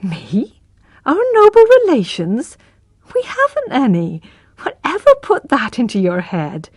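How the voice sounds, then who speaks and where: A woman voicing a gentle, worried young country girl who answers softly. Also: mid-range voice, huge pitch swings, measured pace, slightly rough, no echo, clean studio sound, full character voice. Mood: subdued. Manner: soft, subdued replies.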